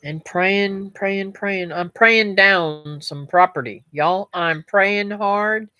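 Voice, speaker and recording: A woman's voice: medium pitch, takes it slowly, pushed and bright, no room sound. A middle-aged woman, chatting casually with her live-stream viewers in a rambling way, her voice a little stuffy and nasal. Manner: chatty, rambling, talks to viewers as "guys" and "y'all". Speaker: homestead vlogger reading live comments.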